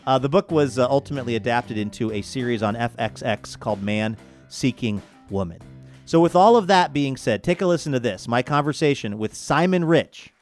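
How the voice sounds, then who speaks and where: A man's voice: mid-range voice, expressive, fairly quick, soft background music. A man hosting a radio show, talking in a conversational, enthusiastic way with wry asides. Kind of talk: upbeat show promo and interview talk.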